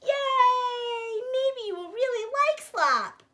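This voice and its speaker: A woman's voice: very high voice, expressive, extremely slow, pushed and bright, a little hiss or hum, pitched above the performer's own voice, full character voice. A woman voicing an eager, proud little girl pig in a storybook read-aloud, gushing and emphatic. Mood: excited.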